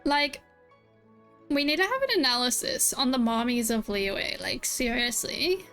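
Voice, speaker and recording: High-voiced woman reacting to a game. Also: animated, unhurried, a bit bright, faint music behind. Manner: chatty, jokey, talks to viewers. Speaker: gaming streamer.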